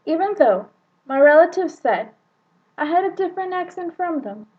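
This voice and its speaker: A woman's voice: extremely high pitch, expressive, moderate pace, highs cut off. A young woman from northern Mexico, a native Spanish speaker with a Mexican accent touched by Texan, giving a careful prepared talk about herself. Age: young adult. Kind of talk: prepared personal monologue.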